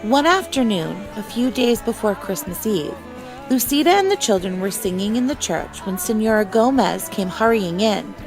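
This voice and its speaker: A woman reading a children's storybook aloud as a teacher, with expressive, deliberate phrasing. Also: mid-range voice, music in the background, low-quality recording.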